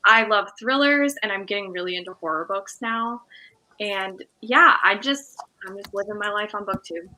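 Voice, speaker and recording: A woman's voice: medium pitch, moderate pace, pushed and bright, low-quality recording. A woman introducing herself as a book vlogger, bubbly and giggly, trailing off at the ends. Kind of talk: casual self-introduction.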